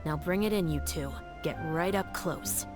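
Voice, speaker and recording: An actress voicing a stern, dutiful police captain who talks in a level, matter-of-fact and wry way. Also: female voice, deep voice, normal speed, lightly acted. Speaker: captain of the Special Patrol. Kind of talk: video game voice acting. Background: game music.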